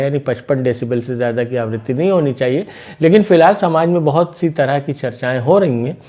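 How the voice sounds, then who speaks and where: A man's voice: medium pitch, warm tone. A man presenting local news commentary, explaining things point by point in a conversational way.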